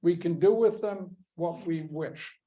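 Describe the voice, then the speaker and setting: Slow, emphatic male history lecturer. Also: sits high, mellow. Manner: measured, emphatic, posing rhetorical questions. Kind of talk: classroom lecture.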